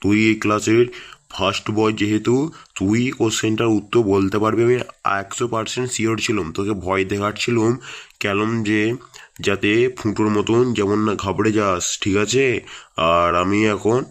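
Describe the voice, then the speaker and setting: A man's voice: on the low side, fairly even intonation, moderate pace, a bit bright, lightly acted. A man voicing a strict, hot-tempered schoolteacher in a comic cartoon, scolding and blustering. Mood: bossy. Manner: scolding, blustering, coarse-tongued. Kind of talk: comic cartoon dialogue in Bengali village school skit.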